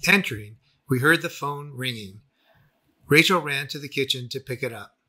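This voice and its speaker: A man reading a scripted first-person memoir as its narrator, with a husky voice. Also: mid-range voice, lively intonation, moderate pace, pushed and bright. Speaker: author and narrator.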